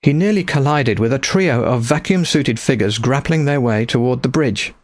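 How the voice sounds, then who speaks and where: A man narrates fiction in a medium-pitched voice. The recording is dry and close, in the manner of an audiobook. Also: moderate pace, no echo. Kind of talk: scripted story narration.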